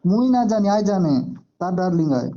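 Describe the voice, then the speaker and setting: A man's voice: high-pitched, very animated, normal speed. A man with a Koraputia Odia accent, chatting casually over the phone. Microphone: telephone line. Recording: muffled lo-fi phone call.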